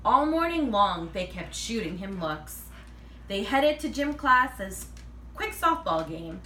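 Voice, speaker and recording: A woman reads a children's picture book aloud in an expressive, animated way, acting out the dialogue. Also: mid-range voice, slow. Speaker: read-aloud reader. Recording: roomy, distant mic, faint noise.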